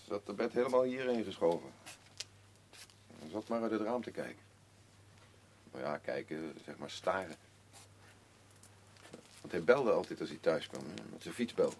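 An actor playing a gruff, defensive working-class father in grief, speaking blunt, halting, colloquial lines in a naturalistic radio drama. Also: sits low, animated, darkish tone. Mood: brooding, low. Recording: clearly noisy radio play recording with ambience.

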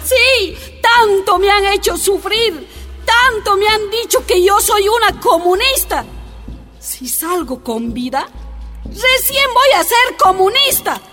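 An actress playing a grieving young mother held prisoner, speaking slowly and quietly. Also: very high voice, animated, soft background music, exaggerated voice. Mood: defiant. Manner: slow, quiet, defiant.

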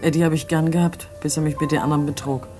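A woman's voice: deep voice, flat delivery, fast, over music, natural voice. An actress in a radio drama playing a bitter, disillusioned young woman, scornful, weary and defiant. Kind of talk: mid-century German radio drama acting.

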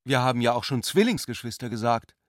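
An actor playing an intellectual, playfully ironic brother in a literary radio drama, speaking eloquently. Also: male voice, medium pitch, animated, fast, no echo, clean studio sound, lightly acted. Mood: playful irony.

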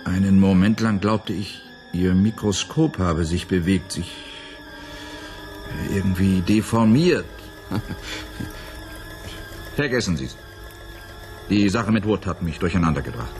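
An actor playing a doubtful, placating and faintly mocking scientist in a 1980s German radio play, with an expressive, questioning delivery. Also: male voice, deep voice, slow, long pauses, soft background music. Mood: uneasy, dismissive.

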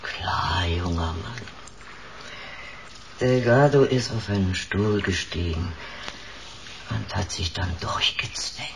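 Slow, creaky, nosy neighbour man. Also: deep voice, a little hiss or hum, full character voice. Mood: knowing. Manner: clipped rambling sentences, folksy and knowing. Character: nosy, talkative neighbour who sees everything, drily amused. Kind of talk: German radio drama acting.

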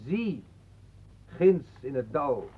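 Radio drama, thin band-limited audio: a theatrical, solemn versifier, husky and drawn-out in delivery. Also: male voice, mid-range voice, sing-song, exaggerated voice. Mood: declamatory, eerie. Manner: declamatory, portentous. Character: solemn, theatrical male poetry reciter. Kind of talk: radio play acting. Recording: muffled, phone-like old radio recording.

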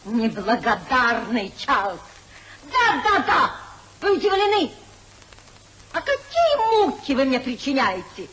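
An actress playing an expressive high-society lady in theatrical 1950s radio comedy style. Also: slow, long pauses, exaggerated voice. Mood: outraged. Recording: noisy, hissy 1950s radio recording.

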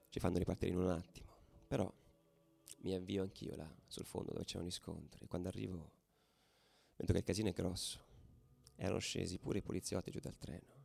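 Radio theatre: man as angry, combative football ultra, colloquial narrator, mid-pitched, dramatic storytelling. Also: creaky. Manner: colloquial, swinging from hushed to shouting. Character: angry, combative male football ultra recounting street battles. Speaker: actor narrating a monologue.

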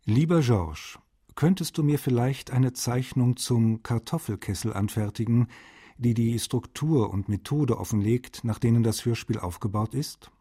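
Husky, mellow man letter writer. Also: mid-range voice, unhurried. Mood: friendly, informal. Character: friendly male letter writer, a German translator. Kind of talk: reading a letter aloud in a radio documentary.

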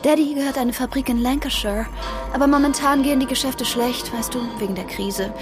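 High-voiced flighty young actress played by a woman. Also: fairly quick, close to an everyday voice. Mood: breezy, confiding. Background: music.

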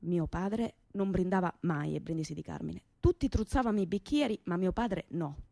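A woman's voice: on the low side, normal speed, vocal fry, dark tone, dry recording. An actress playing a fiery young working-class woman with a Calabrian southern Italian accent, telling her story colloquially and emphatically in a radio theatre monologue. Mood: earnest recounting.

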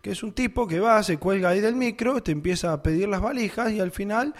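A man with an Argentine accent, a guest on a radio chat, who tells lively stories and laughs often. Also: sits high, quick pace. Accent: Argentine Spanish. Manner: animated anecdote-telling, laughs often. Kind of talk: casual storytelling in conversation.

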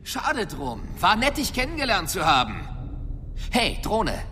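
An actor in a science fiction radio drama playing a brash human intruder, sardonic and bossy. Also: male voice, high-pitched, huge pitch swings, unhurried, heavily acted. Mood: dry, sardonic. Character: brash, cheeky human intruder with dry wit.

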